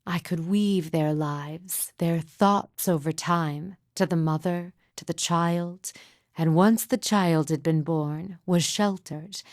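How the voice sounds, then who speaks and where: A woman playing a grieving, mystical midwife in a fantasy game, speaking in a solemn, formal, measured way with deliberate pauses. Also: on the low side, darkish tone, dry recording, studio quality. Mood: earnest, solemn. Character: grieving mother, solemn sorrowful mystic midwife with haunted calm. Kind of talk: scripted fantasy game dialogue.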